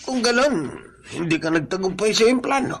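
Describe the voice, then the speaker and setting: An actor playing a frank, concerned older male servant, earnest and admonishing, with a creaky sharp voice in Filipino radio drama. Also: sits high, lively intonation, moderate pace.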